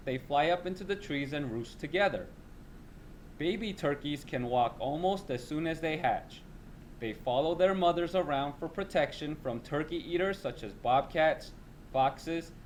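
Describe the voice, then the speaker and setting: A man's voice: a little high, a little hiss or hum. A man reading a children's nonfiction text aloud as a teacher.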